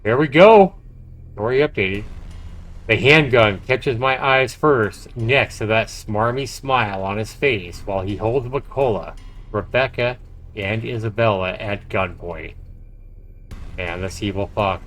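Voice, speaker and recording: A man's voice: on the low side, measured pace, a bit bright, a little hiss or hum. A man livestreaming a game, reading its narration aloud dramatically with casual, profane asides. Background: faint game music.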